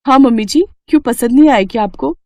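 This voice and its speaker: Woman acting as young daughter-in-law, lifted pitch, meek, respectful, dutiful, family storybook dialogue, dry recording. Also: fast, dark tone, no room sound.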